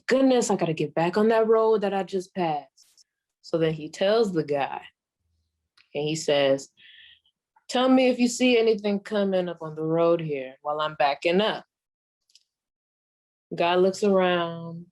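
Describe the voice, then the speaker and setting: Sassy old female stand-up played by a woman, 1940s radio act, low-pitched, very unhurried, folksy deadpan storyteller. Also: natural voice. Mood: wry storytelling. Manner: folksy, deadpan, drawn-out delivery with deliberate pauses. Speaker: comedian performing a monologue.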